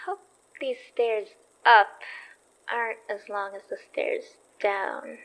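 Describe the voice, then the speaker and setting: A woman's voice: mid-range voice, fairly even intonation, slow, fairly bright tone, rough recording. A woman playing an anxious but plucky young investigator in a horror audio drama, speaking in a hesitant, nervy way. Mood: wry.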